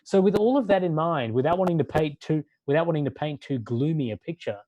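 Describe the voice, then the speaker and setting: A man who grew up in Australia, giving a sermon-like talk in a measured way and building long lists for emphasis. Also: fairly high pitch, lively intonation, warm tone, dry recording.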